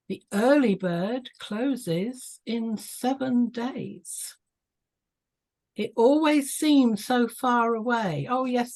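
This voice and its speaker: A woman's voice: medium pitch, a little mellow. A woman talking casually on a video call, chatty and full of ums and small laughs. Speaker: club member on a video call.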